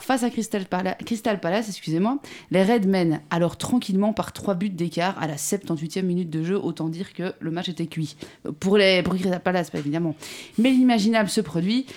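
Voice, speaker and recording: A woman's voice: lowish voice, a little fast, a little mellow. A woman with a Belgian French accent reading her football column on a radio show, wry with teasing asides.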